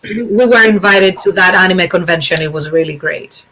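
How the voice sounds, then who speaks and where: A woman's voice: lowish voice, moderate pace, sharp tone, slight background noise, rough recording. A woman whose first language is Greek, chatting casually as a guest on a video call and often restarting her sentences. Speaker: guest musician. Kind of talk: casual video chat interview.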